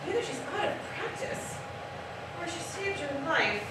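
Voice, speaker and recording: A woman's voice: extremely high pitch, very animated, moderate pace. An actress playing an eccentric, mannered mother with a faintly sinister, wry and theatrical manner, on stage in a live play. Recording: echoey theater stage from far off, noisy.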